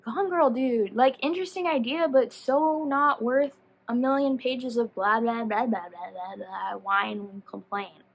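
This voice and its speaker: High-voiced woman rambling animatedly about books. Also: a little slow, few pauses, rough recording. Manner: animated, rambling, slangy, self-interrupting. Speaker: book vlogger. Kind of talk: casual, chatty book review monologue to camera.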